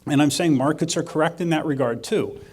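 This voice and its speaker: A man's voice: fairly high pitch, brisk, a little mellow, breathy edge. Man giving a conversational economics talk. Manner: conversational, dry self-deprecating humor, thinks aloud. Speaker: agricultural economist presenting. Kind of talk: conference presentation.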